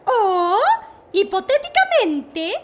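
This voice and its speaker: Cartoon cloud queen, super high and swoopy, slow and regal, scheming. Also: sing-song, a little hiss or hum, full character voice. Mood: sly, scheming. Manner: theatrical, regal. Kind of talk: comic dub acting.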